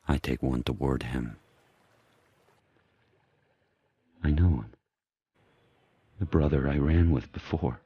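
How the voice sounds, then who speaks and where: A very deep, husky wild dog. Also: male voice, mellow, no room sound, exaggerated voice. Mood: wary, tense. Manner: terse, deliberate first-person narration in short phrases. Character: fierce, brooding male sled dog turned wild pack leader. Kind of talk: dramatic audio drama monologue.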